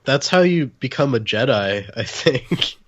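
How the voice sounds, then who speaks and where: Guy w/ average pitch joking around on a podcast, super dry sound, wry. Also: expressive, unhurried, fairly bright tone, no room sound. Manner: wry, jokey, laughs often. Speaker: podcast speaker. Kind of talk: casual joking chat.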